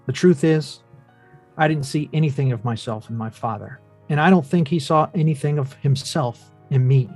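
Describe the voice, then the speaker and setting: A man playing a wounded, earnest adult son who narrates his father's life in a measured, reflective way at a script read. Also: mid-range voice, darkish tone, faint music behind, natural voice. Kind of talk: dramatic table read, first-person narration.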